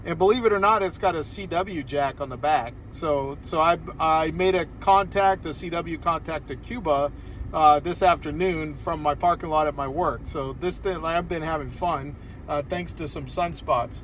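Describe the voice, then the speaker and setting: A man's voice: on the high side, moderate pace, a bit bright, a little hiss or hum. An American man chatting casually as an amateur radio operator, rambling a little as he talks from inside a car. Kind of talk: casual ham radio conversation. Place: inside a parked car outdoors on a hillside.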